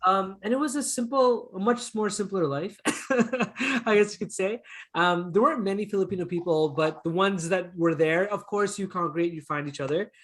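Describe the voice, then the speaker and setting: A man with a Canadian accent, chatting casually and telling stories as an interview guest, easygoing and quick to chuckle. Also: high-pitched, a bit bright. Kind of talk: casual conversational storytelling.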